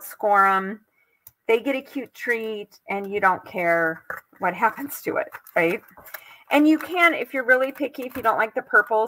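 Bright-voiced older American woman demonstrating crafts. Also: medium pitch, poor audio. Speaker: craft tutorial host. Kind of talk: casual chatty live craft demonstration. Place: indoors at home.

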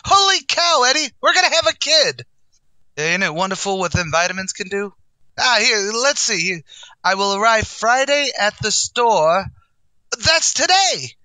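A man's voice: high voice, very animated, a little slow, sharp tone, dry recording, full character voice, poor audio. An actor playing a brash, boastful male tavern manager, in a wisecracking 1940s radio comedy style. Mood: excited.

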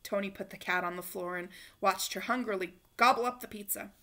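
A woman reading a children's picture book aloud in an expressive, emphatic way, acting it out for young listeners. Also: mid-range voice, brisk. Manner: animated, emphatic, acts out dialogue. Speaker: storybook reader. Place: small living room, indoors on a couch.

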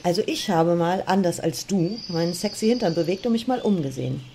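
Actress as sassy bossy first woman, lowish creaky voice, teasing, comedy audio play, faint nature noise behind. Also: a little fast, warm tone. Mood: mocking. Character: Eve, a bossy, mocking, curious first woman. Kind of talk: comedic audio drama dialogue.

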